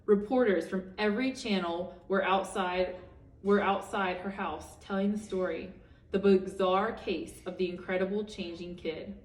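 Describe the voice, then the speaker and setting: A woman reading a children's picture book aloud as a teacher, expressively, for young listeners. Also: mid-range voice. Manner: expressive, pausing to ask listeners questions. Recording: echoey room, distant microphone.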